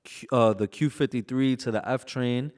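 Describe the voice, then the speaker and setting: A man in his twenties from Brooklyn, New York, a music artist recalling memories in a relaxed interview, peppering his talk with "like" and "you know". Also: medium pitch, normal speed. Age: 20s. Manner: relaxed, reflective storytelling with frequent "like" and "you know". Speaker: bachata artist, guest. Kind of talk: casual interview conversation.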